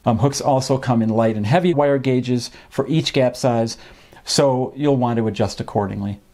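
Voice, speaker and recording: Instructor, male, 50s, medium pitch. Informal explainer, measured and slightly hesitant, speaking in a small indoor room. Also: dark tone. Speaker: fishing instructor and presenter. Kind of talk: informal instructional talk to camera. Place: small home office, indoors.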